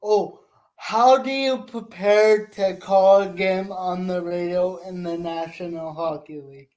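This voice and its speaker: A young man hosts a sports interview and puts simple questions to his guest. He talks very slowly, taking his time over each phrase. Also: high voice, fairly even intonation, a little mellow, poor audio. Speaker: show host, interviewer.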